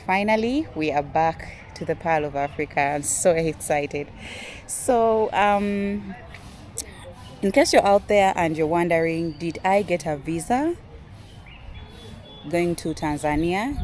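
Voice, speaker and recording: Excitable Ugandan woman vlogging outdoors. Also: lowish voice, a little hiss or hum. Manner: excitable, chatty, addresses viewers as "you guys". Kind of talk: casual vlog talk to camera. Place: outdoors in a garden.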